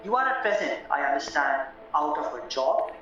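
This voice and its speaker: A man acting a courteous, formal solicitor in a radio play, deliberate and a little hesitant. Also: a little high, very animated, bright, forceful tone. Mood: calm, reassuring. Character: courteous, measured English male solicitor, formal and reassuring. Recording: roomy, distant, muffled, music underneath.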